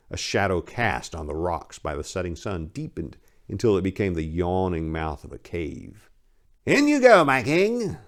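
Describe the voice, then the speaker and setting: A man's voice: deep voice, darkish tone. A man reading his own story aloud as dramatic narration, in a grave, ominous, emphatic manner.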